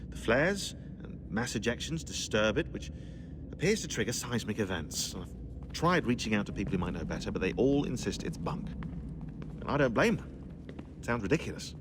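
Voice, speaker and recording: An actor playing a dry, sardonic, bookish researcher in a video game cutscene, talking in a wry, articulate way. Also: male voice, fairly low pitch, big pitch swings, normal speed, noisy background. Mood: grim.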